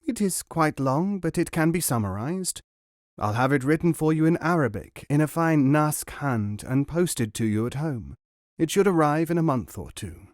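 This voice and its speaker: A man's voice: fairly high pitch, very animated, normal speed, no room sound, pitched above the performer's own voice. A courtly old Persian bookseller, gracious and formal, his voice lifted a little and dry, as a man reading the story puts him on. He talks with polite ceremony, in a close, dead sound.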